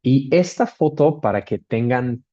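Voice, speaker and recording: A man's voice: mid-range voice, expressive, measured pace, mellow, lo-fi sound. A man from Australia speaking Spanish with an Australian accent, giving a webinar presentation with frequent fillers and restarts. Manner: hesitant, with many fillers and restarts.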